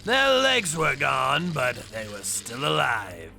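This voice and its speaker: A man's voice: mid-range voice, wildly swooping pitch, slow, creaky, sharp tone, heavily acted. A man voicing a ruthless underworld avenger in a manga dub, menacing and theatrical as he narrates. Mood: grim narration. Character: ruthless male underworld avenger narrating his torture jobs. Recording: background music under voice.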